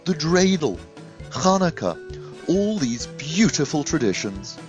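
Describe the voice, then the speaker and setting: An earnest, curious magical scarecrow from a children's storybook, played by a man, speaks in a lifted, lilting voice. He is polite and full of wonder, with very expressive swings of pitch. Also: measured pace, faint music behind. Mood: tender, realising.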